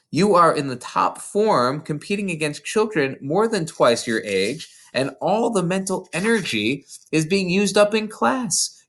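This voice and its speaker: Reader as a gentle, animated woman schoolteacher. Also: mid-range voice, pitched above the performer's own voice, exaggerated voice. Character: kind, thoughtful young woman schoolteacher. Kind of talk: character dialogue in storybook reading.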